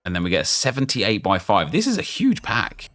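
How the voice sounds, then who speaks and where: A man, chatty and excitable, thinking aloud as he opens packs in a football game, losing his voice and getting unwell. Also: on the low side, a little fast. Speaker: gaming YouTuber. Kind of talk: casual pack-opening commentary.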